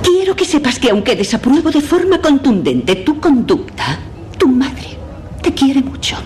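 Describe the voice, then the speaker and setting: A prim and impeccable 1950s housewife with a Spain accent in a dubbed television drama. Her high voice moves up and down a lot as she moralises in formal phrases, with some noise on the clip. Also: sing-song, a little slow, a little mellow. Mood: prim reproach. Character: prim, impeccable 1950s housewife, a mother's alter personality, reproachful. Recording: noisy clip from a TV show.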